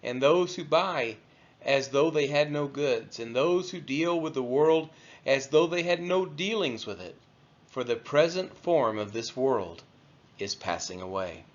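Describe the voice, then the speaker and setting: Expressive man reading scripture, dull audio. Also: mid-range voice, unhurried. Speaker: scripture reader. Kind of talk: scripted read-aloud of scripture.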